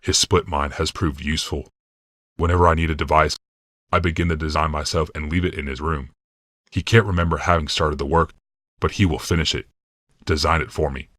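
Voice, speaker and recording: An imperious male ruler of a fantasy audiobook, acted out by a man, with an extremely low voice. He speaks in a measured, commanding way with calm condescension, recorded very dry and close. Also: no echo. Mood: proud, calculating. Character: imperious male military ruler, calm, calculating, gently mocking. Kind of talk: audiobook character dialogue.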